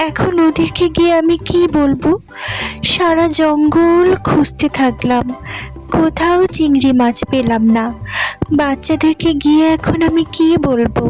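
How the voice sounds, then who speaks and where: A woman's voice: high-pitched, unhurried, a little mellow, low-quality recording. A woman voicing a tender, earnest mother tailorbird in a children's storybook cartoon. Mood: worried, sad. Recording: music under the voice.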